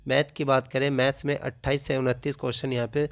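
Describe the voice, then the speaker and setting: A man's voice: medium pitch, flat delivery, quick pace, a bit bright, no room sound. A man explains like a coaching teacher in an informal analysis, often restating his phrases.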